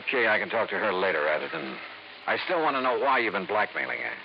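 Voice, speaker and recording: Muffled, noisy old radio. Hard-boiled male investigator, clipped and skeptical, with widely swinging pitch. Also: very animated, fast. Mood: probing, skeptical. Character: tough, probing male insurance investigator narrating his case. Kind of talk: 1950s radio noir acting and narration.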